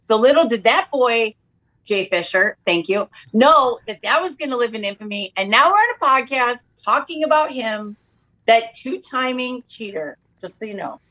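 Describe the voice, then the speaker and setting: High-voiced woman, comedic and self-mocking. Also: expressive, moderate pace, sharp tone, lo-fi sound. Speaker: comedian and author guest on a podcast. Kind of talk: animated comic storytelling and chat.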